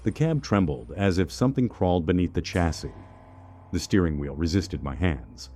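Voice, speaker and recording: Very deep male horror story narrator. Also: expressive, moderate pace, warm tone, slight background noise. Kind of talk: scripted first-person horror story narration.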